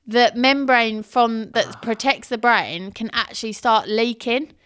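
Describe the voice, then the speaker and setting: A woman chats on a podcast, telling stories about herself with a self-mocking humour. Her voice is bright and very clear. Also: a little high, unhurried. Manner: chatty, self-mocking storyteller who laughs often. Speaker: podcast co-host. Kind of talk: casual chat.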